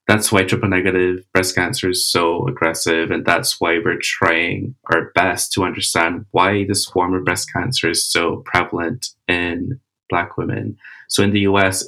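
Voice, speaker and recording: A man, a cancer researcher giving measured, explanatory interview answers with "uh" and "you know" fillers. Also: low voice, a bit bright, rough recording. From Barbados.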